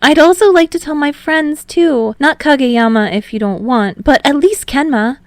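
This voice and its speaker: An excitable young man in love acted by a woman reader talks in a lifted, bright voice. He is sunny, earnest and quick to get flustered, as heard in a closely recorded romance audiobook with no room sound. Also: high voice, no echo, pitched above the performer's own voice. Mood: cheerful, eager. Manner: eager, earnest.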